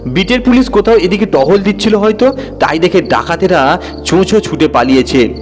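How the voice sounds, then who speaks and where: A man voicing a sharp-tongued, nagging wife who scolds with scornful certainty in an audio story. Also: high voice, animated, normal speed, in a large hall, faint music behind, pitched above the performer's own voice. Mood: scornful explaining. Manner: scolding, snappish, certain of herself.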